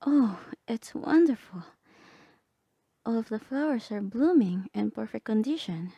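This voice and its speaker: A woman playing a gentle, lovestruck bard companion in a romantic ASMR roleplay, speaking softly and tenderly, often half whispering. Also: fairly high pitch, lively intonation, a little slow, gravelly, breathy edge, no echo, full character voice. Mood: pleased, tender. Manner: tender, hesitant, polite.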